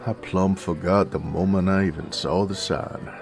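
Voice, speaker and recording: A man playing a gruff, teasing werewolf bounty hunter in a Western audio roleplay, with a gravelly American Western drawl and a folksy, coarse manner. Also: deep voice, fairly even intonation, normal speed, faint music behind. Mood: wry, relaxed.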